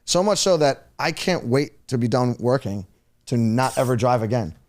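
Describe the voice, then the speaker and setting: A man's voice: mid-range voice, animated, normal speed. A man, a podcast guest ranting emphatically in casual chat.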